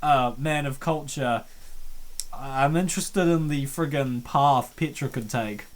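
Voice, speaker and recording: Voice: medium pitch, takes it slowly. A co-host making wry, joking comments while playing through a visual novel. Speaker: playthrough co-host. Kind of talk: casual game commentary.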